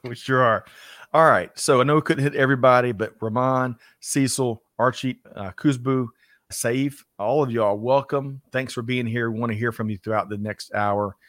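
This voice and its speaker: An upbeat man hosting a casual talk show, with a mid-range pitch. He talks with lively energy and laughs often. His voice sounds dry and close to the mic. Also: moderate pace, no echo. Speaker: podcast host.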